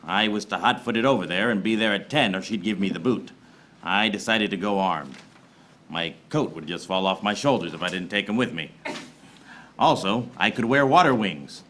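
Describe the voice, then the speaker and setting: An actor playing a wry, hard-boiled private eye who narrates a parody noir radio serial in deadpan, recorded live on stage. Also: male voice, lowish voice, moderate pace, natural voice. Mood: wry narrating. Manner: deadpan pun-laden monologue. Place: small theater stage before live audience.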